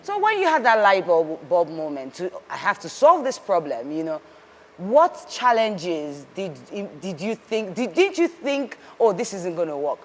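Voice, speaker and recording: A woman host asks her guest questions in an animated, encouraging way, often restarting her sentences. Her voice sits a little low, and the room around it rings with a long reverb. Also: a little slow. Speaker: podcast host, interviewer. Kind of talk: interview questions.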